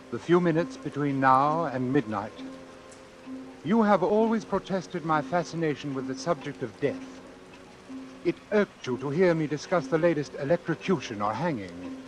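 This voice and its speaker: An actor playing a cynical, death-obsessed gentleman doctor in a precise, theatrical 1940s radio style. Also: on the high side, close to an everyday voice, poor audio. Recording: vintage 1940s radio recording.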